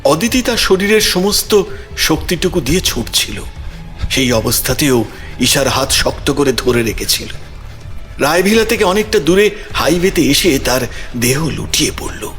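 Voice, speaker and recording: A man's voice: a little high, very animated, moderate pace, a bit bright. A man narrating a scripted audio story in a dramatic storytelling style. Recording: music behind the voice.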